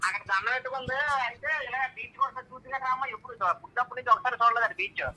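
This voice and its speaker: A man's voice: extremely high pitch, fairly even intonation, rapid-fire, noisy background. A young man with a coastal Andhra Telugu accent, speaking casually over a phone line. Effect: telephone. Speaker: friend receiving a call. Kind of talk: casual, animated phone talk.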